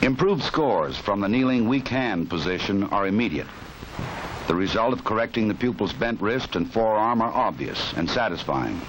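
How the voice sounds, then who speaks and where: Casting: American male narrator, lowish voice, measured and authoritative, vintage training film with clear background noise. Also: animated. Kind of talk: 1960s instructional film scripted narration. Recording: noisy 1960s film soundtrack.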